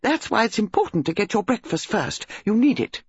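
A reader voicing Mrs Bantry, a breathless, excitable English country lady who gushes about a body found in her library. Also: medium pitch, brisk, slightly rough, no room sound, highs cut off, voice pushed up, exaggerated voice. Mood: excited. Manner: gushing, breathless.

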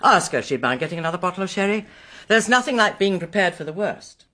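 An actress playing a sensible, reassuring older lady of the house with an English accent and a brisk, no-nonsense manner, in a radio drama. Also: lowish voice, lively intonation, a bit bright.

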